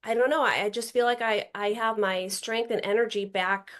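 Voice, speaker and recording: A woman's voice: fairly high pitch, steady tone, moderate pace. A woman in her forties, a podcast guest chatting casually, upbeat and talkative, often trailing off and restarting her thoughts. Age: 40s. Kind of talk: casual chat.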